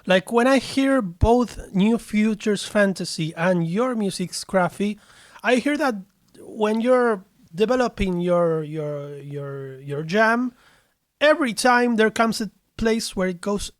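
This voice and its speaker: A man's voice: sits high, a little slow, no echo, studio quality. A man from Argentina, hosting a casual interview and asking playful, rambling questions. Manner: playful, jokey, asks long rambling questions. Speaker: host.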